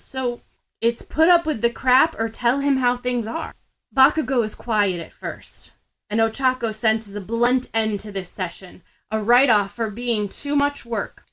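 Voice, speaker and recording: A woman's voice: fairly high pitch, fairly bright tone, rough recording. A woman narrating fan fiction expressively, as in an audiobook. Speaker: podfic reader.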